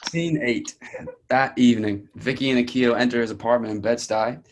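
A man's voice: medium pitch, steady tone, moderate pace. A man reading out stage directions as scripted narration for a play reading. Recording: clear background noise.

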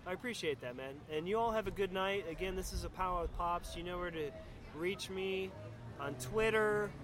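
A middle-aged man hosting a casual podcast chat, speaking effusively and haltingly, often repeating his words. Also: high voice, crowd noise. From northern United States, grew up partly in the South. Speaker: podcast host. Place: outdoors at a pizza place. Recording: noisy outdoor spot with traffic.

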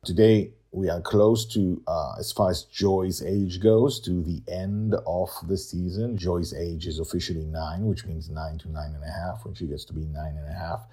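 A man's voice: low-pitched, steady tone, moderate pace, hardly any pauses, warm tone. A man hosting a story podcast, narrating theatrically and emphatically in short, broken phrases.

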